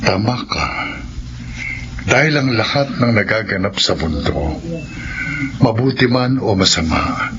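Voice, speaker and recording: An earnest Filipino parish priest with a lowish voice, explaining things in a measured, didactic manner. The radio drama audio is dull, with clear noise. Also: male voice, animated. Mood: earnest, grave.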